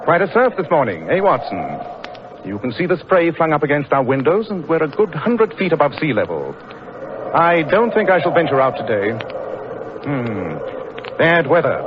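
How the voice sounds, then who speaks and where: A commanding British gentleman detective in a 1940s radio drama. His voice is fairly high and swoops expressively with theatrical crispness, over a noisy, muffled old recording. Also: sing-song, normal speed. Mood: musing relish. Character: Sherlock Holmes, brilliant, commanding, coolly curious gentleman detective.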